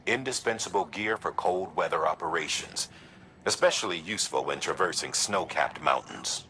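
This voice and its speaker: A low-voiced, serious commanding officer delivers measured video game mission briefings. He sounds grave and instructive, with music behind him. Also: sharp tone. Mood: cautioning.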